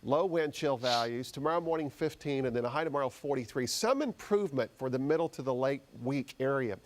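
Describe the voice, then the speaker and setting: A man's voice: medium pitch, animated, a little fast, a little hiss or hum. American man giving a conversational weather forecast. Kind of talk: live unscripted weather forecast.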